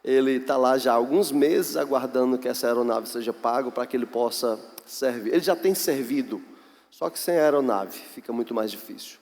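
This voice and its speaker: A man with a Brazilian accent, a pastor presenting mission work to a church in an earnest, unhurried way with pauses. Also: mid-range voice, gravelly, a little mellow. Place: large echoey church hall. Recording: echoey hall, long reverb.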